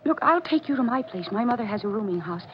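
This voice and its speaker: A woman's voice: fairly high pitch, animated, quick pace, natural voice. An actress in a 1940s radio drama playing a caring, composed young woman with a patient, plain-spoken manner. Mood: concerned. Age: young adult. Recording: muffled, noisy 1940s radio recording.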